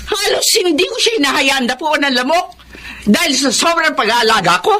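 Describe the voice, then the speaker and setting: A woman's voice: high voice, expressive, normal speed, pushed and bright, slight background noise. An actress playing a domineering, scornful Filipino mother who scolds with mocking questions in a melodramatic radio drama. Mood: indignant, insulting.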